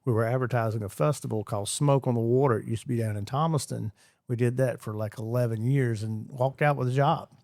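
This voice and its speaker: A man's voice: mid-range voice, steady tone, fast, darkish tone, dry recording. Male radio guest, chatty rambler, dry studio sound. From Georgia, US. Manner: rambling, repeats words, many fillers. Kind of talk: casual interview chat.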